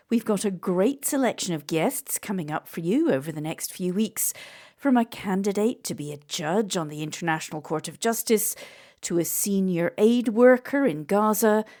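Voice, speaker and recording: A middle-aged woman hosting a podcast, reading a composed scripted intro and asking gently probing questions. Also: lowish voice. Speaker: podcast host.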